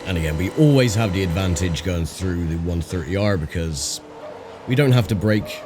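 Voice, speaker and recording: A man with a croaky voice giving casual, chatty commentary while playing a racing game, thinking aloud with fillers. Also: sits low, animated, normal speed. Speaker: gaming channel host. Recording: voice over game audio with engine noise.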